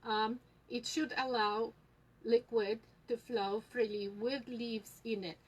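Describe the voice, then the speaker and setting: A woman with a Polish accent hosting a live tutorial on tea leaf reading, talking slowly with many fillers and pauses to viewers. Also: fairly high pitch, fairly even intonation, low-quality recording. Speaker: tea shop host teaching tea leaf reading.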